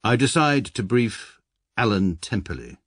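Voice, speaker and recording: An actor playing a formal, deadpan British barrister who narrates in a radio drama. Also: male voice, lowish voice, a little slow, full character voice. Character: stiff, precise English barrister narrator, middle-aged man.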